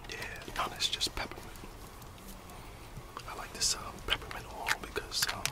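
A man's voice: moderate pace, recorded from a distance. A middle-aged American man whispering a casual, grumbling ASMR roleplay as a gossiping office employee, in a conspiratorial manner.

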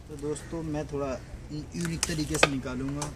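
A man's voice: fairly high pitch, steady tone, moderate pace. A young man in his twenties talking casually to viewers in a travel vlog, filmed on a phone held at arm's length outdoors on a beach. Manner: chatty, addresses viewers as friends. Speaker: vlogger. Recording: loud outdoor noise.

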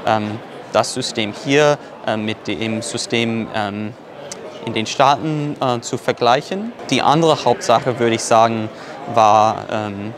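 A man's voice: mid-range voice, slow, crowd noise. A man in his twenties from the United States, a native English speaker with an American accent, giving an on-camera testimonial as an intern and searching for words. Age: 20s. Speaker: parliamentary intern. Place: large busy glass-walled lobby, indoors. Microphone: lapel mic. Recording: clear background noise of busy hall.

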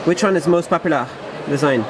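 A man's voice: a little high, brisk. A man asks casual, on-the-spot product questions as a video interviewer, in clipped and simplified English. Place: indoor exhibition hall booth. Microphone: behind the camera, on-camera mic. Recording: busy trade show crowd noise.

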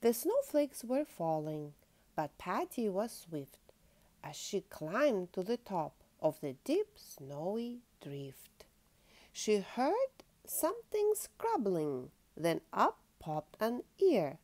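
A woman's voice: medium pitch, very animated, slow, a little mellow. A woman reading a children's picture book aloud expressively, as a storytime host. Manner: asks listeners questions.

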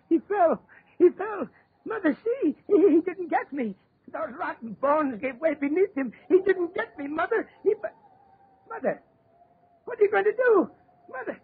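An actor playing a terrified, murderous man in a frantic, shrill 1930s radio horror style. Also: expressive, slow pace, exaggerated voice. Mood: hysterical. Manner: shrill, frantic, stammering wails. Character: terrified murderous brother Paddy, shrieking and pleading. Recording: tinny 1930s radio recording.

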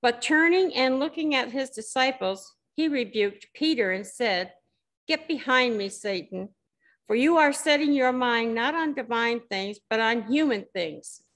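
An older woman reading scripture aloud in a measured way, in a church sanctuary. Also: a little high, expressive, a bit bright, low-quality recording. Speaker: scripture reader.

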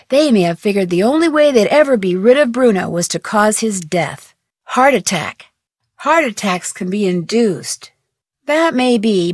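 An actress voicing a curious, plucky young female detective in a video game, with a brisk, wry tone. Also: mid-range voice, no room sound, studio quality, natural voice. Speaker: game character, teen detective.

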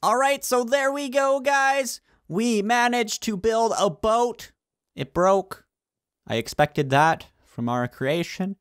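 A man's voice: very high voice, slow, fairly bright tone, clean tone, no room sound, studio quality. A man hosting a gaming video, giving exclamatory, urgent commentary while playing with friends. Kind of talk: excited gameplay commentary with friends.

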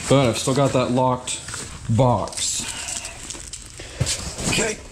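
Man chatting slowly while unpacking boxes. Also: medium pitch, very animated. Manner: chatty, thinking aloud, wry asides. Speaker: storage unit reseller. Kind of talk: casual vlog narration while unboxing. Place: indoor self-storage hallway, metal walls. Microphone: head-mounted action camera. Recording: noisy, crinkling and rustling behind.

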